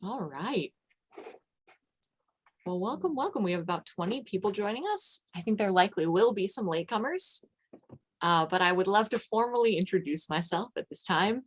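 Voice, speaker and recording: A woman's voice: medium pitch, animated, moderate pace, breathy edge. A woman hosting an online event, giving an informal, effusive welcome to the audience. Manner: effusive, greeting people by name. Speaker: event host, arts program manager. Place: office, on a video call.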